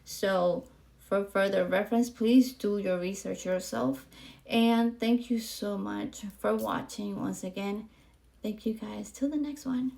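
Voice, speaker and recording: Gravelly-voiced podcast host in her forties. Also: medium pitch, slow, darkish tone, slight background noise. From Puerto Rico. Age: 40s. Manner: candid, chatty, frequent ums and likes. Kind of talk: casual monologue to camera.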